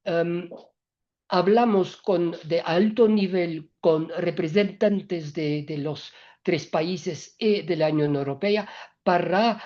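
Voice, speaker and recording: Halting non-native Spanish woman, low and slow. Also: dark tone, dull audio. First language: not Spanish. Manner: halting, many filler sounds and restarts, non-native grammar slips. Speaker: EU trade representative speaking at a business panel. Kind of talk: hesitant panel talk on trade policy.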